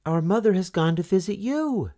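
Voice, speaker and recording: A wary little girl, high and questioning , as a man puts her on. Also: expressive, moderate pace, dark tone.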